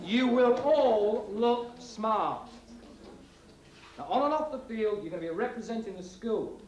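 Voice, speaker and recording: An actor playing a bossy schoolteacher who briefs a boys' team, listing rules point by point. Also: male voice, high voice, animated, a little slow, darkish tone, echoey room, poor audio. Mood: lecturing. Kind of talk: 1980s TV drama acting.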